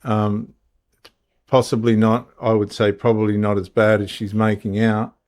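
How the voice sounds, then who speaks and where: A man hosting the show, with a low voice that stays flat and even. He reads a scripted opening, then keeps interrupting his guest to argue his own points. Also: normal speed. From Australia. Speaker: host.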